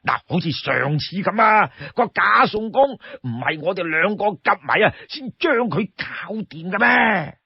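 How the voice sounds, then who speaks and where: A man's voice: sits high, animated, a little slow, gravelly, hardly any pauses, husky, dry recording, pitched above the performer's own voice, full character voice. A man voicing a boisterous, wheedling outlaw warrior in a storybook tale, pleading and exclaiming as he talks. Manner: pleading, exclamatory, stammers when cornered. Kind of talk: Cantonese storytelling character voice. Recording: dull, close dry studio sound.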